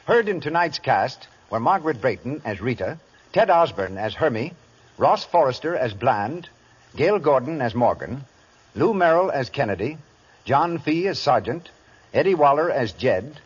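A man announcer reading a cast list in a brisk, formal radio delivery. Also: medium pitch, very animated, breathy edge. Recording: dull lo-fi 1930s radio broadcast.

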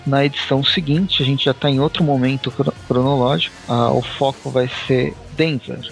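Brazilian man, podcast co-host, gravelly voice, faint music behind, casual recap. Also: medium pitch, fairly even intonation, normal speed, low-quality recording. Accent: Brazilian Portuguese. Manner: laughs often, recaps plot in loose asides. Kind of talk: casual comic review chat.

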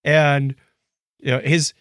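A man's voice: on the high side, slow, dry recording, clean studio sound. A middle-aged man hosting a casual podcast, chatting in a playful storytelling manner. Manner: animated, playful storyteller, acts out dialogue and hums. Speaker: podcast host. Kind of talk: casual co-hosted podcast chat.

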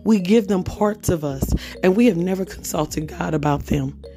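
A woman hosting a devotional podcast, talking hoarsely in a casual, conversational way and reading scripture aloud. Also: fairly low pitch, moderate pace, dark tone, soft background music. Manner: conversational, reads scripture aloud, chatty fillers. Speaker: podcast host.

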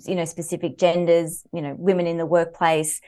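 A woman's voice: on the low side, level tone, brisk, warm tone. A woman with an Australian accent, a guest author on a podcast, telling personal stories animatedly and laughing easily. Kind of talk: conversational interview.

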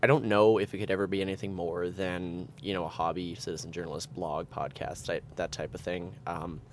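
A young Canadian man with a very low voice talks casually as a student guest on a podcast. He is self-effacing and conversational. Faint room noise sits behind him. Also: flat delivery, a little fast. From Edmonton, Canada. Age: 20s. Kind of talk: casual chat.

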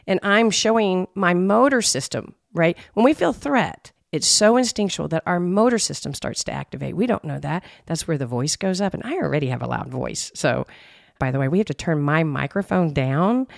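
A woman co-hosting a podcast, with an intense, emphatic voice that rises when she gets animated. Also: fairly low pitch, moderate pace, vocal fry, dry recording, studio quality. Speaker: podcast co-host. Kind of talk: conversational podcast discussion.

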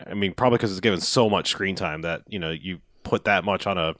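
A man's voice: deep voice, quick pace, a bit bright, no room sound, studio quality. A man hosting a casual movie podcast, rambling with lots of fillers and swearing.

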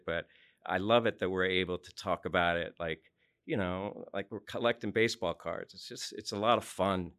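A man's voice: sits low, a bit bright, clear, resonant tone. A man who co-hosts a podcast, talking informally and enthusiastically in a panel discussion. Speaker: podcast co-host.

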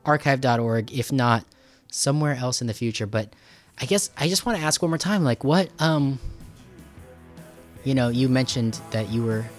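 Male podcast interviewer, mid-range voice, rambling and halting in casual conversation, faint music. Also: medium pitch, lively intonation. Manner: rambling, halting, thinking aloud. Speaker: podcast host and radio producer.

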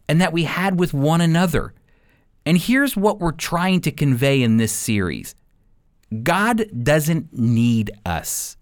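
A man giving prepared Bible teaching in an emphatic, deliberate way, dropping his voice on key words. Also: a little high, no room sound, clean studio sound.

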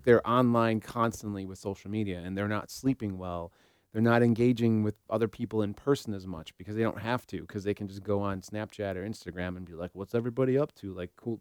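A man's voice: on the low side, darkish tone, dry recording, studio quality. A man co-hosting a talk show, giving his opinions in an informal, conversational way. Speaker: podcast co-host. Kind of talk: casual discussion.

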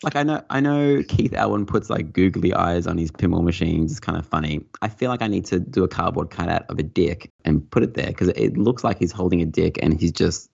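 A low-voiced man joining a podcast as a guest, rambling and repeating his words as he jokes. His voice is very deep with a gravelly fry. The sound is a bit dull. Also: a little fast, hardly any pauses, a little mellow. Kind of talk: casual podcast chat.

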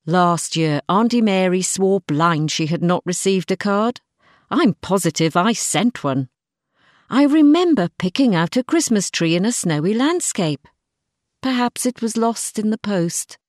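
A woman narrates a diary-like short story in an expressive way. Her pitch sits in the middle range for a woman, and the sound is dry, with very little room around the voice. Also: a little slow, dry recording. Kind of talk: scripted first-person story narration, diary-like.

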